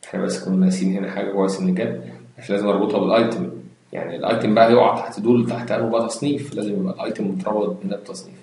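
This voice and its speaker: Egyptian Arabic male lecturer, quick delivery, slightly low voice, explains step by step and quizzes the viewer, very echoey room. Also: steady tone, creaky, a little mellow. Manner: explains step by step, mixing English terms, asks rhetorical questions. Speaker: computer science instructor. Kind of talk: screen-recorded lecture.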